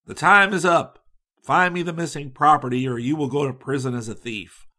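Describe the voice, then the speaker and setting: A man voicing a gruff, domineering old farmer in a comic storybook melodrama, fierce and commanding. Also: a little high, fairly even intonation, moderate pace, sharp tone.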